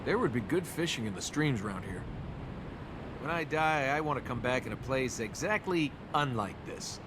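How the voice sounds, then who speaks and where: A man's voice: lively intonation, normal speed, natural voice. A male actor playing a cocky, wry young adventurer in a video game, with a casual, sardonic manner. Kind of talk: natural video game character acting. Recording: game capture with rumbling ambient noise.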